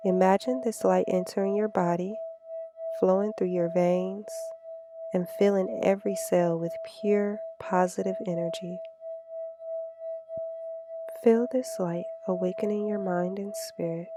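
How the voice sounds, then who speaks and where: A woman leading a slow, scripted guided meditation in a calm, gentle, soothing way. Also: mid-range voice. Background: meditation music with singing bowls.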